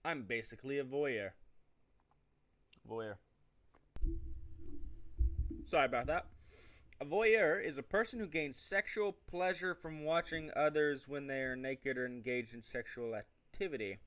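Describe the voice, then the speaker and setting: A man's voice: mid-range voice, moderate pace, fairly bright tone. Man, mid-range voice, casual game commentary, reading text aloud, rambling, jokey. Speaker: host of a gaming show.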